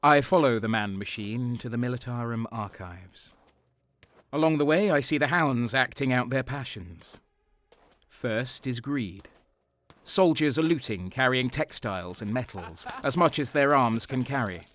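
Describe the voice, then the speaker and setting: A man's voice: mid-range voice, lively intonation, measured pace, fairly bright tone. A man playing a bitter, hardened traitor soldier, narrating an audio drama in the first person with a grim, brooding manner. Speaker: narrator and protagonist.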